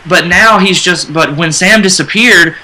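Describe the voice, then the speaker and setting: A man's voice: sits high, unhurried, pushed and bright, low-quality recording. A man in a casual fan discussion, explaining his view and rewording as he goes. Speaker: fan radio panelist.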